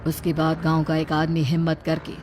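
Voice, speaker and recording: A woman's voice: low-pitched, monotone, normal speed, darkish tone, slight background noise. A woman reading scripted narration for a cartoon horror tale.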